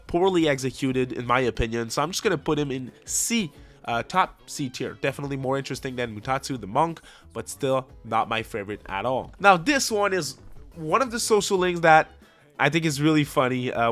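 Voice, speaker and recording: A man's voice: medium pitch, expressive, a little fast, a bit bright, faint music behind. A man hosting a video, giving casual, opinionated commentary in a conversational way, repeating words for emphasis. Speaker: host of a video game ranking video.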